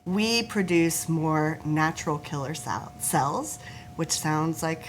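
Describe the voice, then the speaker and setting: A woman's voice: sits low, slow, a little hiss or hum, rough recording. A woman guest on a talk show, a forest therapist, explaining her work in an earnest, informative way. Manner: earnest, informative, laughs easily, some restarts.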